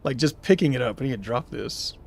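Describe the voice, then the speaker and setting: A man's voice: mid-range voice, lively intonation, fairly quick, creaky. Chatty thirties man streaming a game. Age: 30s. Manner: rambling, chatty, reads and answers viewer chat. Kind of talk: casual live-stream chat while gaming.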